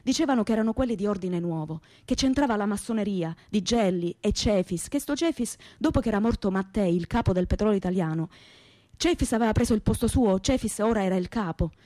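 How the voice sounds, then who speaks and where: An actress plays a young southern Italian woman of simple background, with a Calabrian accent and a medium-pitched voice. She tells her story as a radio theatre monologue, plain-spoken and naive, in colloquial, dialect-tinged phrases. The sound is dry, with no room around her. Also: expressive, a little fast, warm tone, no echo, natural voice. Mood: confiding, earnest. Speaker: stage actress, narrator.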